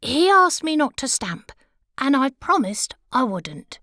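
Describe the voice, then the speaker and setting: A woman's voice: high-pitched, very animated, slow pace, bright, forceful tone, no room sound, studio quality, pitched above the performer's own voice, exaggerated voice. A woman voicing a boastful, self-important little male butterfly in a storybook reading, puffed-up and pompous.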